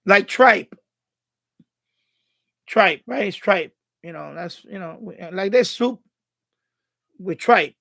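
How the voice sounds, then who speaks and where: A man's voice: high-pitched, animated, takes it slowly, creaky, long pauses, bright, forceful tone, no room sound, studio quality. A man from the Bronx, chatting casually as a live stream host, thinking aloud and repeating himself. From Bronx, New York City, USA.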